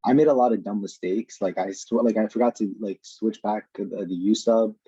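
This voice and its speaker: A man's voice: on the low side, brisk, warm tone, husky, dry recording. A young man, a student, answering hesitantly in an online class, rambling with fillers. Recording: dull, muffled video-call audio.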